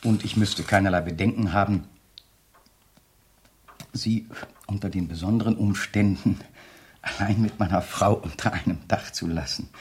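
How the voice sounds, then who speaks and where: An actor playing a sinister, jealous doctor in a radio drama, taunting and mocking, sometimes dropping to a whisper. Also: male voice, sits low, fairly even intonation, normal speed, long pauses, husky. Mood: sly, insinuating. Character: sinister jealous doctor, mocking husband, menacingly controlled, then raving. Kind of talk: 1970s German radio drama acting.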